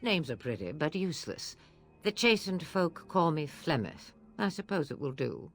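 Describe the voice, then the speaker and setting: Here is an old witch of the wilds from a fantasy game, sardonic and commanding. Her low voice swoops and dips dramatically as she delivers archaic, cryptic phrases like someone long used to being obeyed. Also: very animated, moderate pace, faint music behind. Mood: wry. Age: older. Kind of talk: video game voice acting.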